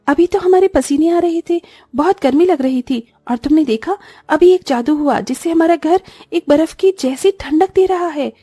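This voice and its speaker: A woman's voice: high-pitched, dark tone, no echo, clean studio sound, close to an everyday voice. A woman voicing an eager, childlike orphan girl who exclaims often, in a cartoon story. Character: excitable young orphan sisters in a cartoon. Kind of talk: Hindi cartoon story dialogue.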